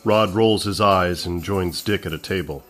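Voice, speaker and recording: A man's voice: deep voice, moderate pace, fairly bright tone, faint music behind. A man narrating a comedy script, reading out the stage directions.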